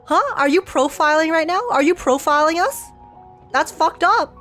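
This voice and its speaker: A woman's voice: high voice, moderate pace, fairly bright tone, soft background music. Giggly young woman streamer. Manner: giggly, joking and sarcastic. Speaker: streamer reacting to a game. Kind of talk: casual reaction commentary.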